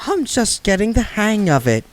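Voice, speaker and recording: A man's voice: high voice, big pitch swings, normal speed, dry recording, voice pushed up. A man voicing a sly old woman witch who plays sweet and naive. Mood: sweetly sly.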